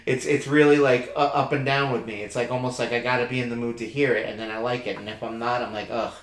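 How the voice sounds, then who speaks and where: A man's voice: medium pitch, fast talker. Chatty man in an echoey room. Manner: chatty and animated, laughs easily. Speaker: podcast co-host. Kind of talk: casual music review chat.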